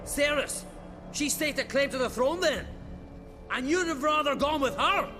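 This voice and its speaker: An actor playing a brash young warrior leader in a fantasy video game, speaking bluntly and emphatically. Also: male voice, extremely high pitch, expressive, unhurried, bright, forceful tone, exaggerated voice. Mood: taken aback. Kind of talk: dramatic video game voice acting. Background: music.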